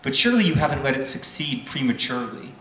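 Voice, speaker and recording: A man's voice: medium pitch, very animated, fast, fairly bright tone. A man voicing a reserved, wry, bookish teenage girl in a live novel reading, with hesitant, dry delivery. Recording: roomy live event, faint background noise.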